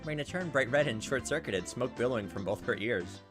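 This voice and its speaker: Casual gameplay narrator, medium-pitched, reads story text aloud with offhand asides, game music underneath. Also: animated, fairly quick. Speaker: let's player reading a visual novel aloud.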